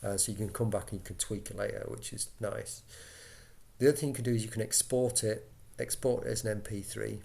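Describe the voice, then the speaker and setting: A man talking through an informal software tutorial, casual and a little hesitant as he thinks aloud into a laptop microphone. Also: on the low side, steady tone, a little fast, creaky. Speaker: tutorial presenter for radio volunteers. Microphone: built-in laptop microphone. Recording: laptop mic, background noise, key clicks.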